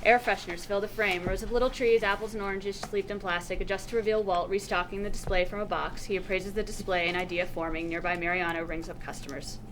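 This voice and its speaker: A woman's voice: mid-range voice, steady tone, fast talker, few pauses. A woman reading scripted stage directions aloud at a table read. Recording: clearly noisy room recording.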